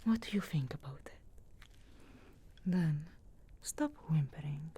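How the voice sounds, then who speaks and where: A woman acts out a haughty young witch in a fantasy ASMR roleplay. Her voice is hushed and very breathy, mostly whispered, with a low, soft tone. She speaks extremely slowly, with deliberate pauses and musing hums, sarcastic yet grudgingly caring. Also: lively intonation, full character voice. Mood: sardonic, impatient.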